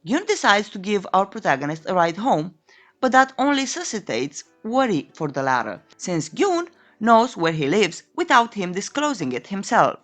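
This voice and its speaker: A woman's voice: mid-range voice, sing-song, moderate pace, a bit bright, faint music behind. A woman reading a scripted story recap as a narrator, in a playful, tongue-in-cheek way. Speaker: narrator of a comic recap channel.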